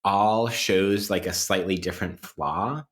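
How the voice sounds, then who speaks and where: A man with a very low voice co-hosts a casual academic podcast. He mixes scholarly vocabulary with offhand, wry asides. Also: unhurried. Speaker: podcast co-host.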